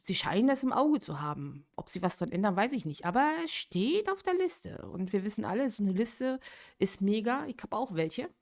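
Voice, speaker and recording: A woman's voice: mid-range voice, sing-song, normal speed, darkish tone. Chatty woman streamer in her thirties. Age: 30s. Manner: chatty, thinks aloud, reacts to chat. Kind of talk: casual live stream chat with viewers.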